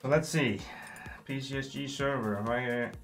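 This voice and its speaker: Male stream host, mid-pitched, friendly chat-reader over a headset. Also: moderate pace, soft background music. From Iceland. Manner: thanks viewers, reads chat aloud, simple non-native phrasing. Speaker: livestream host. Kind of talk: casual gaming livestream chat.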